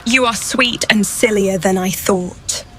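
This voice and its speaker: An actress playing a composed, cool-headed adventurer in a fantasy game, measured and self-possessed. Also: female voice, medium pitch, over music, natural voice, rough recording. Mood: teasing.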